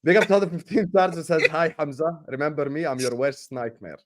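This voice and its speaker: A man's voice: on the high side, brisk. A man hosting a football livestream with informal, teasing banter, reading out viewer messages and steering the panel. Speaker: livestream host.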